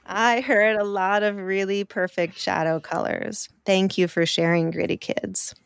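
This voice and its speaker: A woman hosting a children's podcast, talking to kids in a slow, emphatic, encouraging way. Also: mid-range voice, flat delivery, creaky, smooth, no echo. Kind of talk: enthusiastic talk to children.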